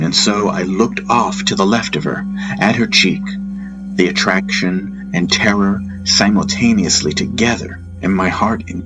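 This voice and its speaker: A man narrating a first-person fantasy audiobook in a solemn, measured, reflective manner. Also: low voice, rough recording.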